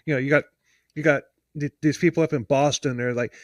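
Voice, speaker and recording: A man's voice: a little high, steady tone, fairly quick, no room sound, studio quality. A man from the United States co-hosting a podcast, talking casually in a rambling, emphatic way that often restarts sentences. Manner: rambling, self-interrupting, emphatic. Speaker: podcast co-host. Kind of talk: casual podcast chat and true-crime storytelling.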